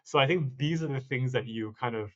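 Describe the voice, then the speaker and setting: A man's voice: mid-range voice, a little fast. Reflective man, slightly muffled sound. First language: not stated. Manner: reflective, many restarts and self-corrections. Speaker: startup founder, guest. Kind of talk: conversational interview answers.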